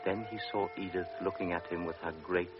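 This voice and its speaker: A man narrates in a very deep, grave, measured voice. The sound is dull and muffled like an old radio broadcast, with music playing underneath. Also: steady tone. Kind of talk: scripted radio drama narration.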